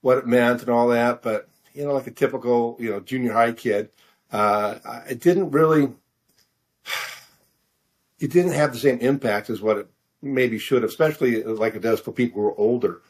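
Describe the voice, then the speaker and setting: A middle-aged American man answering questions in a casual interview, halting and self-deprecating as he searches for his words. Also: medium pitch. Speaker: author interview guest.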